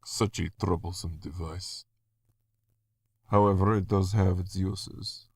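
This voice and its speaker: A cold supernatural male villain, played by a man, very deep and dark in tone. He speaks slowly and formally, with haughty menace, in a dry horror audio drama recording. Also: fairly even intonation, husky, no room sound, full character voice. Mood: coldly ominous.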